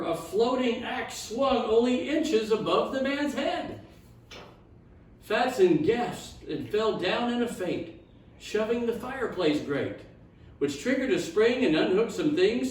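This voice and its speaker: A man reading a rhyming children's story aloud in a theatrical, expressive storytime style. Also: high-pitched. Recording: echoey room, distant mic.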